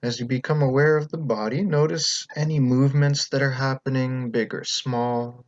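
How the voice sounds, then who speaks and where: A man leading a guided meditation as the teacher, speaking in a calm, gentle, unhurried way with pauses between instructions. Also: medium pitch, no echo, dull audio.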